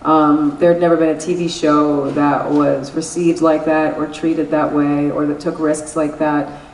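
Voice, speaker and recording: A woman with a New York accent tells stories candidly, laughing and swearing as she goes. Her voice sits a little low, and it sounds roomy and slightly distant, with faint noise in the background. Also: flat delivery, unhurried, darkish tone. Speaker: guest actress. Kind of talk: casual interview at a convention. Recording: echoey convention room, mic at a distance.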